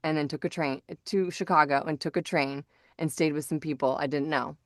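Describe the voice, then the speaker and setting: A woman's voice: low voice, fairly even intonation, brisk, dry recording, studio quality. A middle-aged woman hosting a casual podcast, talking in a chatty, informal way. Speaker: podcast host.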